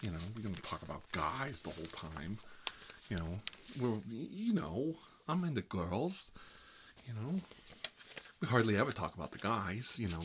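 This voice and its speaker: Man playing a low-voiced, fussy, rambling gossip. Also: noisy background. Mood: flustered, defensive. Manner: rambling, fussy, flustered, repeats himself. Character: Marvin, fussy gossipy man living with his mother. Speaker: ASMR channel host. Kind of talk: ASMR comedy role-play, one-sided chat with a visitor.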